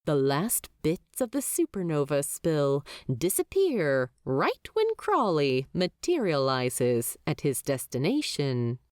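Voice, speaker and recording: Very animated, unhurried woman narrator. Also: sits low, no room sound, studio quality. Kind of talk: expressive scripted story narration.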